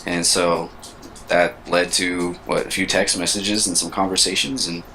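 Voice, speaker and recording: A man in his thirties with a very low voice and a flat, level delivery talks things through slowly, stopping to hunt for the right words. His tone still has a bright, forward edge. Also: slight background noise, rough recording. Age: 30s. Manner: reflective, searching for words. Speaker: co-host. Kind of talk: casual chat.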